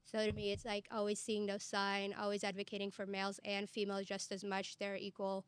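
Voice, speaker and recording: Earnest young woman from Egypt, even-toned. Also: mid-range voice, fairly quick, clear, resonant tone, no room sound. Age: young adult. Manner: earnest, speaks from personal experience. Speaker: student panelist. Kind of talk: panel discussion.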